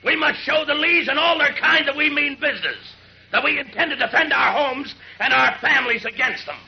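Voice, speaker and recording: A hateful middle-aged gang leader in an old radio serial. He harangues in a very high, creaky voice with venomous force. The recording is dull, lo-fi 1940s radio. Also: brisk. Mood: furious, rousing. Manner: venomous, ranting oratory.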